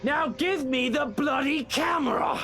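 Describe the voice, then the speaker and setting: An actor with a British accent playing an arrogant, foul-mouthed wealthy husband in a video game, sneering and theatrical. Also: male voice, very high-pitched, unhurried, sharp tone, raised voice, slight background noise, exaggerated voice. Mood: shouting rage. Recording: background music.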